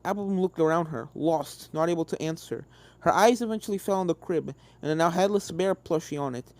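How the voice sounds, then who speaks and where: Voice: mid-range voice, a little fast, a little mellow. A narrator reading a scripted fan fiction story in prose. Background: video game background music.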